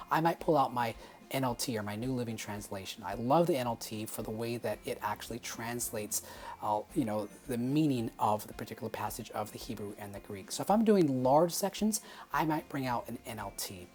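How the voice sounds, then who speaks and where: A man hosting his own teaching channel, talking casually to camera in an upbeat, emphatic way and repeating key points. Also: medium pitch. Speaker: Bible teaching channel host. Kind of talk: casual explanatory talk to camera.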